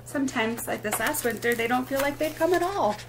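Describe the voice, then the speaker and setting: A woman hosting a children's story time, reading a picture book aloud expressively in short, deliberate phrases. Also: high voice, lively intonation, background noise.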